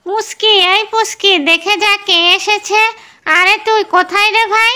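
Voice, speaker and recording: A woman's voice: very high voice, fairly even intonation, normal speed, pushed and bright. A woman voicing an eager, chatty young girl in a cartoon, inquisitive and pleading in manner. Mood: calling. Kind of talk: cartoon voice acting.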